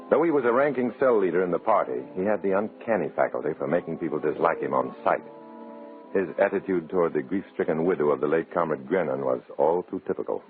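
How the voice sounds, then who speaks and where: An actor playing a sardonic, hard-boiled undercover agent in an old American radio drama, on a muffled vintage recording. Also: male voice, extremely low pitch. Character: wry undercover agent posing as loyal communist comrade.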